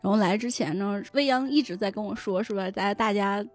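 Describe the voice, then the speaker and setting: A woman's voice: mid-range voice, fast talker, a little mellow. A woman guest explaining things in a chatty way during a casual podcast conversation. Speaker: guest, web-fiction editor. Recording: music behind the voice. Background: music.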